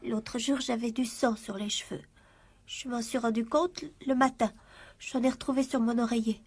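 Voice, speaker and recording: A woman's voice: on the high side, steady tone, normal speed, darkish tone, husky. An actress playing a frightened, secretive fourteen-year-old French schoolgirl in a radio drama, hesitant and pleading. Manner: hesitant, repeating words, pleading.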